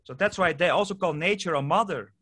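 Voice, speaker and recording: Guest, male, Dutch accent, non-native English, somewhat high pitch, stumbling, repetitive delivery. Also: sharp tone. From Netherlands. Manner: halting, many fillers and repeated words. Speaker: guest, permaculture project founder. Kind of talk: casual interview answers.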